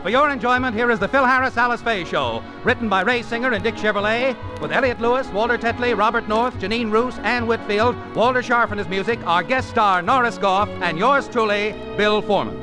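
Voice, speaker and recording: A man works as a 1940s radio announcer, reading in period style. His voice is high, with loud music behind. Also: brisk, hardly any pauses.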